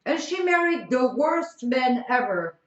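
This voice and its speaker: Teasing French woman streamer, lively pitch. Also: measured pace. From France. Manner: teasing, blunt opinions. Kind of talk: casual chat with viewers. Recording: echoey room, dull lo-fi sound.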